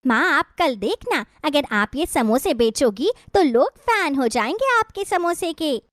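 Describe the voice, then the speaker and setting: Woman voicing an eager high-pitched young girl. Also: very animated, measured pace, a bit bright, dry recording, studio quality, heavily acted. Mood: delighted. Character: eager, sensible young village girl, doting daughter. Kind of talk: animated storybook dialogue.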